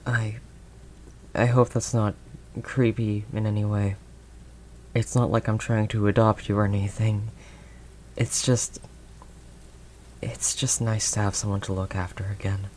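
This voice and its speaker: Man playing a low, kindly older werewolf, rain behind. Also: flat delivery, a little slow, long pauses, darkish tone, slight background noise, close to an everyday voice. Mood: wistful, tender. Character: gruff but kindly older male werewolf, protective loner. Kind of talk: intimate audio roleplay addressed to the listener. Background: rain ambience sound effects.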